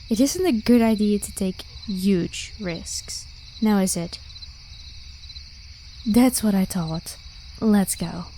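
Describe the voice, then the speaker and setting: A woman voicing a carefree, protective vampire warrior of high rank in a casual, reassuring roleplay style. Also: darkish tone. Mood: concerned. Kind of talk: ASMR roleplay acting. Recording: clear background hiss with night crickets. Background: crickets and insect ambience.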